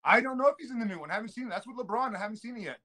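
A man in his thirties, a guest on a video call, who answers in a hesitant, halting way. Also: extremely high pitch, animated, pushed and bright. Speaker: comedian guest. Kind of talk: casual video-call chat.

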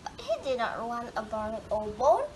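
A woman's voice: a little high, very animated, normal speed, a little mellow. A woman reading a children's picture book aloud expressively, drawing out words for dramatic emphasis. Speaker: storybook reader. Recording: clearly noisy home recording.

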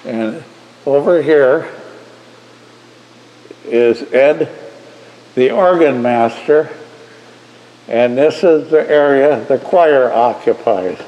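Slow-talking man giving a church tour. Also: mid-range voice, lo-fi sound. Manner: slow, halting narration with restarts. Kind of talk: casual guided tour. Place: old church sanctuary. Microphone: handheld camera.

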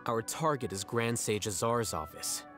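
A man playing an aloof, arrogant young scholar in a video game, composed and deadpan, with precise, formal diction. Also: mid-range voice, normal speed, natural voice. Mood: calm, matter-of-fact. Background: game music.

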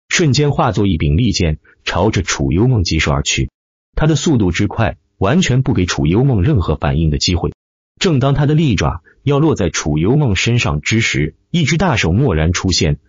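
Male audiobook narrator: low, husky, expressive delivery for dramatic fiction, dry slightly dull recording. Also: no room sound. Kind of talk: dramatic scripted web-novel narration.

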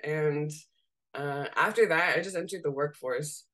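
A young woman from Seattle with a slightly raspy voice, chatting casually as a guest on a video call and laughing often as she talks. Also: deep voice, a little slow. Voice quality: raspy voice today. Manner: laughs often while talking, relaxed and candid. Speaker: guest, graphic designer. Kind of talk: casual interview chat. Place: home room.

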